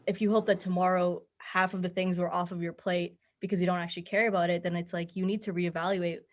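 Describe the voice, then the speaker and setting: A young woman with a slightly low voice that moves little in pitch. She talks conversationally, like a guest answering interview questions, offering advice in an earnest and encouraging manner. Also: little pitch movement, fast. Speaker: podcast guest, mental health advocate.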